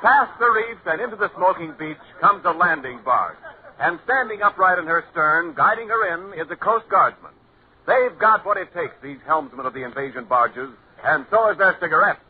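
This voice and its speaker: A man's voice: sits high, animated, normal speed, breathy edge. A man with an American accent reading advertising copy as a 1940s radio announcer. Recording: tinny 1940s radio recording.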